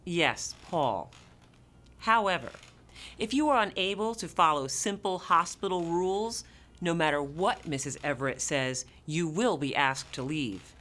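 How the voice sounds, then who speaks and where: A woman's voice: fairly low pitch, lively intonation, measured pace, fairly bright tone. A woman acting in a radio play as an officious, rule-bound head nurse, formal and authoritative. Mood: stern, disapproving.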